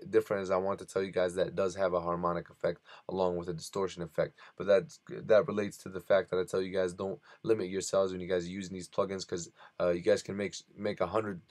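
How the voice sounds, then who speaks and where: A man hosting a tutorial, narrating casually and informally as he explains software to his listeners. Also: deep voice, dry recording. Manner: informal, addresses listeners as "you guys". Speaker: tutorial host.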